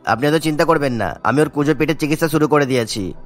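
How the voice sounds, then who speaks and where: Caring cartoon doctor, male actor: brisk, earnest, principled, faint music behind. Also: a little high, close to an everyday voice. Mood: firm concern. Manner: earnest, righteous. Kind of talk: cartoon story dubbing.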